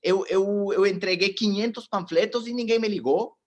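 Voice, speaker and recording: A man's voice: high-pitched, moderate pace, darkish tone. A man in his forties, not a native speaker of the language, gives a motivational talk. He tells his story with animation and speaks directly to his audience. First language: not Portuguese.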